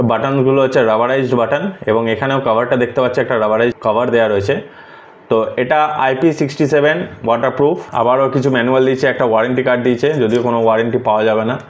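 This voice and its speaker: A man gives a casual hands-on tutorial as a vlogger, explaining things and mixing English words into his sentences. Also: mid-range voice. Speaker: vlogger presenting a product unboxing.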